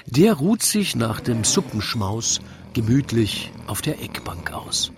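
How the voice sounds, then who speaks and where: A man reading a comic rhymed narration in a wry, theatrical, measured way. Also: mid-range voice, background noise. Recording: lively crowd chatter behind. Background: crowd murmur.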